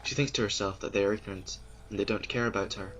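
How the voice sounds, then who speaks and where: Male narrator, low voice, slow ominous storytelling, music under. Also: fairly even intonation, fairly bright tone, low-quality recording. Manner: ominous, deliberate, sometimes dropping to a whisper. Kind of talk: scripted audio drama narration. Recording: music playing behind.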